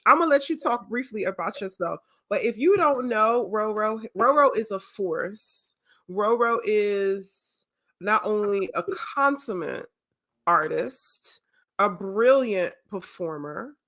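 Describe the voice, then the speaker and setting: Woman hosting a chat, emphatic and playful, medium pitched. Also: lively intonation, takes it slowly, fairly bright tone. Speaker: host. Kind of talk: casual chat.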